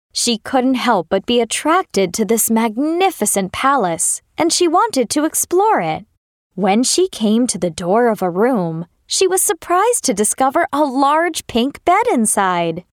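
Female storyteller, children's fairy-tale narration, slow, animated, dry studio-like sound. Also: a little high, no echo.

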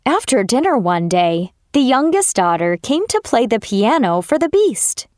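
A woman's voice: on the high side, sing-song, unhurried, a little mellow, dry recording, studio quality. A woman narrator reading a scripted fairy tale for children.

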